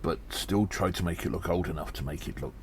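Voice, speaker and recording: A man playing a weary gnome investigator in an audio drama, musing haltingly and deliberately with breathy sighs. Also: deep voice. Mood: grave, pondering. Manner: slow, deliberate, halting, pondering. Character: weary gnome investigator reporting on a spy conspiracy. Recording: faint background noise.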